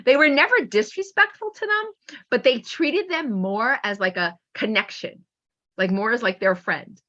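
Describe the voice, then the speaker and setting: A woman with a fairly high, very bright voice speaks as a teacher presenting to peers online. She is animated and informal, telling anecdotes and acting out remarks. The sound is a slightly dull video-call recording. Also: normal speed. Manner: animated, acts out pupils' remarks. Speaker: teacher and author presenting a webinar. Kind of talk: informal training talk with anecdotes.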